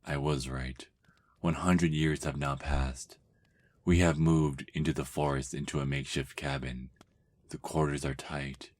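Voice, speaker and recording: An extremely deep voice belongs to a brooding, centuries-old vampire, acted by a man. He narrates his diary with little melodic movement, measured and world-weary, in the manner of a dramatic ASMR audio roleplay. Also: fairly even intonation, darkish tone. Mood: despairing.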